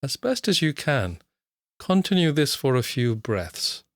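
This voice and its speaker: A man guiding a body scan meditation, speaking slowly and calmly with long pauses. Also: medium pitch, no room sound, studio quality. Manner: slow, calm, soothing, with long pauses. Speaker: mindfulness teacher.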